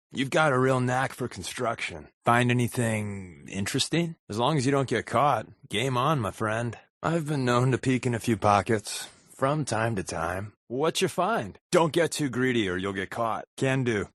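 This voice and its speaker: An actor playing a wry, sarcastic secret agent companion in a post-apocalyptic video game, with a deadpan, wisecracking manner. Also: male voice, medium pitch, unhurried, no echo, studio quality. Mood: wry, joking.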